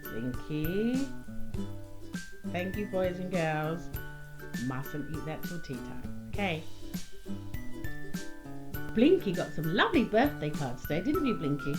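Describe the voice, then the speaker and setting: A woman's voice: fairly low pitch, very animated, takes it slowly, pauses often, music in the background. A woman presenting a children's puppet show, coaxing in a sing-song way with simple phrases and questions. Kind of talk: children's entertainer talk to a puppet and young audience.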